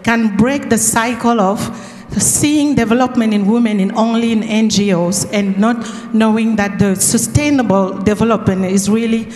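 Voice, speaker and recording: An earnest woman from Cameroon, with a slightly high voice, asks a long question from the floor, stopping and restarting as she searches for words. Her voice echoes around a big hall with a long reverb. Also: fairly even intonation, a little mellow. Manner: earnest, halting, restarts often. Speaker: audience member asking a question. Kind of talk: conference question. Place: large conference hall.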